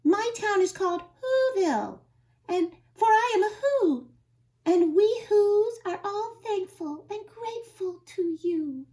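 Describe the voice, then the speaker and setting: A woman voicing a tiny, cheerful male mayor of a town on a dust speck, in a high, piping voice. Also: animated, slow, warm tone, full character voice. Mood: friendly.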